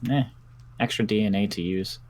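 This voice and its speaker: A man's voice: fairly low pitch, normal speed, a little mellow, natural voice. A man playing a blunt, pragmatic doctor in an improvised zombie roleplay game, dry, curt and sarcastic.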